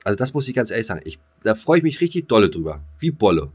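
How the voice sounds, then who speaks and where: Low-voiced man bantering, crude and joking. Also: big pitch swings, rapid-fire, a little mellow, husky. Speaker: podcast co-host. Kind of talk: casual comedic banter.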